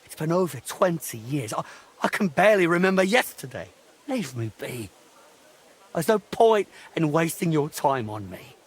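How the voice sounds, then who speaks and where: An actor playing a middle-aged, washed-up drunken master craftsman in a video game, halting, grumbling and self-pitying, with a gravelly, husky voice. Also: a little high, very animated. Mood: weary, defeated. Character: ashamed washed-up drunken old master clockmaker, grumbling and reluctant. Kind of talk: video game drama acting.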